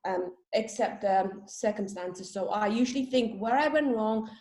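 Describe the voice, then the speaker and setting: A woman's voice: medium pitch, normal speed, darkish tone. A young woman from Sri Lanka, a guest in a casual interview, talking openly and chattily and laughing at herself.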